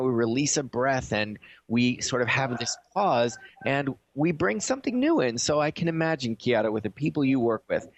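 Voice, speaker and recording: A man hosting a radio show in a conversational, enthusiastic and reflective manner. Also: mid-range voice.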